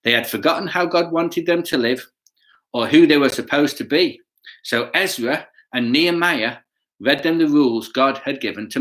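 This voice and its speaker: Animated man telling a children's story. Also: mid-range voice, low-quality recording. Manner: playful, expressive, asking listeners questions. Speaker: children's bedtime story reader. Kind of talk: children's storytelling read aloud with chatty asides.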